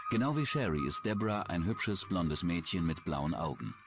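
A man's voice: low voice, big pitch swings, normal speed, music in the background. A man reading scripted true-crime documentary narration.

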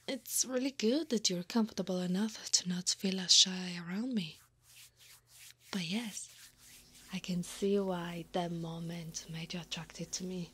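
Soft whispering centaur woman, acted by a woman, teasing. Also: medium pitch, a little hiss or hum, close to an everyday voice. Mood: fond, gentle. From Italy. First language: Italian. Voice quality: breathy, often whispering. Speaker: ASMR roleplay performer. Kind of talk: ASMR roleplay.

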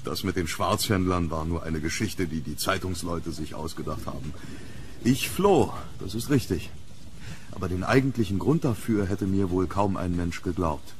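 An actor playing a wealthy, polite but uneasy gentleman archaeologist in a horror audio drama, speaking formally and deliberately. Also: deep voice, lightly acted. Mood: grave, confiding. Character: rich, famous, courteous but uneasy English gentleman archaeologist. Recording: noisy recording with background ambience.

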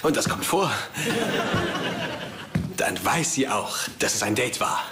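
A man dubbing an earnest, sheepish young scientist in a sitcom, pedantic and halting when flustered. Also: fairly low pitch, expressive. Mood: flustered, defensive. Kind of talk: German-dubbed sitcom dialogue. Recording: TV soundtrack with loud background noise, audience laughter.

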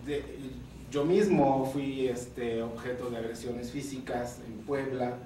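A man from the port of Veracruz, an activist and group founder, speaking at a panel in a measured, halting way. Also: mid-range voice, fairly even intonation, mellow, slight background noise. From port of Veracruz, Mexico. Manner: hesitant, many fillers, measured. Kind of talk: panel talk. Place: conference room. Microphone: table microphone, recorded by far camera. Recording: echoey room from far off.

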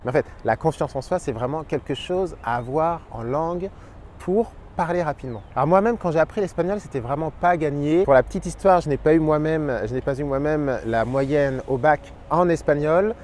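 A man in his thirties who hosts a language channel, explaining his tips to camera in an energetic, expressive way. He is outdoors by a park pond and wears a lapel mic. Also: a little high, moderate pace, slight background noise. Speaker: Spanish teacher and channel host.

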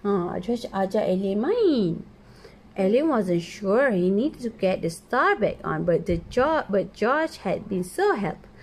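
A woman's voice: mid-range voice, very animated, unhurried, darkish tone. A Malaysian woman, a native Malay speaker, reads a picture book to a young child, explaining it simply with repetition. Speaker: parent reading to a young child. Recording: noisy home phone recording.

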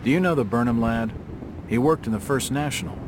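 An actor playing a wry, persistent hard-boiled detective in a video game, sardonic and probing. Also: male voice, mid-range voice, brisk, background noise, lightly acted.

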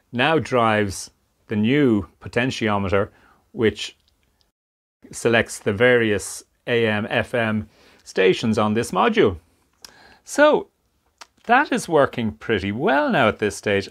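A man giving unscripted hands-on narration at a workbench, explaining things step by step in a measured way. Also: fairly low pitch. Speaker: hobbyist presenter of a radio restoration. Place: indoor workshop at a workbench.

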